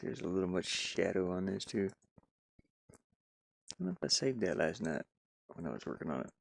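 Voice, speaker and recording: Deep, gravelly man thinking aloud. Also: very animated, dry recording. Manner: halting, muttering to himself, thinking aloud. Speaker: streamer and hobby game designer. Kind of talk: casual live commentary while drawing game art.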